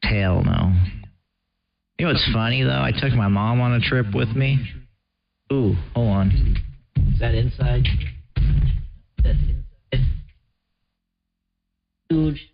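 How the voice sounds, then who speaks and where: A man's voice: mid-range voice, slow, vocal fry, pauses often, faint music behind. An American man chatting casually and laconically over CB radio in a laid-back way. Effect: CB radio transmission. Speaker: CB radio operator. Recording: muffled, noisy CB radio with clicks.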